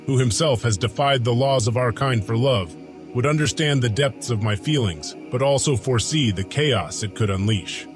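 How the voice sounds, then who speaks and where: A man's voice: mid-range voice, close to an everyday voice. Man acting as a solemn, lovestruck god over music. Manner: grave, formal, measured with weighty pauses. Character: lovestruck, brooding Anunnaki god, solemn and defiant. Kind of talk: dramatic first-person audiodrama monologue. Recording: music behind the voice.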